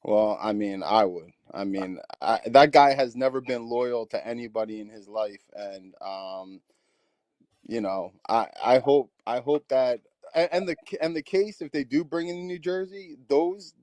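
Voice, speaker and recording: A man's voice: mid-range voice, a little mellow, no echo. A man calling in to a live stream, talking casually, restarting his sentences and repeating words. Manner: halting, repeats himself, friendly. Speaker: caller. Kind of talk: casual call-in chat.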